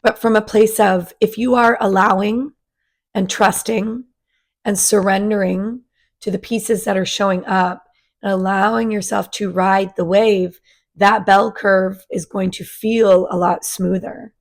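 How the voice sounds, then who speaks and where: A woman, raspy after a sinus virus, giving a casual spiritual energy forecast to her channel in a gentle, chatty way. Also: medium pitch, fairly even intonation, a little slow. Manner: gentle, chatty, with many fillers and restarts. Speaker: spiritual coach and channel host.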